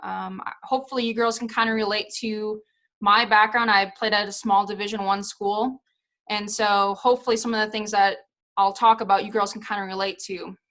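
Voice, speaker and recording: A woman in her thirties talks to a team over a video call in a relaxed, chatty way, full of little fillers and hedges. Her voice is bright and forward, at an ordinary middle pitch for a woman. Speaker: guest speaker, former pro soccer player. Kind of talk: casual talk on a video call.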